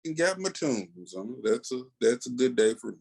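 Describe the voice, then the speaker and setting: A man with an American accent chatting casually as a podcast co-host, telling things in a slangy, animated, halting way. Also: mid-range voice, vocal fry, slightly rough. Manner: slangy, animated storytelling with many filler pauses. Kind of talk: casual chat.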